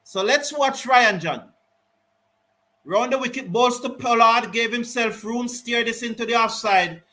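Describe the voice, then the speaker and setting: A man giving live ball-by-ball cricket commentary and reading out viewer comments, excitable on big hits. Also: very high-pitched, a little slow. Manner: lively, rises excitedly on big shots, Caribbean English phrasing. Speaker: cricket watch-along commentator.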